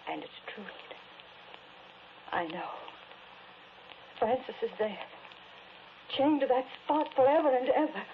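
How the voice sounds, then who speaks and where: A woman's voice: high voice, sing-song, slow, long pauses, heavily acted. An actress playing an anguished, accusing woman obsessed with a haunting, in 1940s radio drama style. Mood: anguished, fearful. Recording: noisy, muffled 1940s radio recording.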